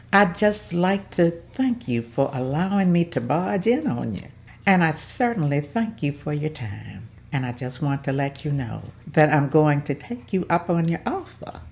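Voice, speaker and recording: A woman's voice: low voice, a little mellow. A woman reading the part of a polite, determined secretary of a church order at a script table read, in an earnest, formal manner.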